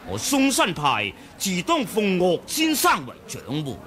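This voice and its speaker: Haughty Cantonese sect master, wildly swooping. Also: male voice, high-pitched, huge pitch swings, a bit bright, a faint crowd, full character voice. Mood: mocking, pompous. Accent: Hong Kong Cantonese. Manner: haughty, mocking, drawn-out delivery. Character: proud, menacing male martial-arts sect master. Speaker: radio drama actor. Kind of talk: 1980s radio drama acting. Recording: 1980 radio drama with music behind.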